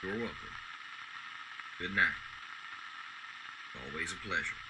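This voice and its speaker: A man playing a kindly, melancholy old widowed war veteran in a radio drama, speaking in a measured, wistful way. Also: low-pitched, background noise. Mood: warm farewell. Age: older.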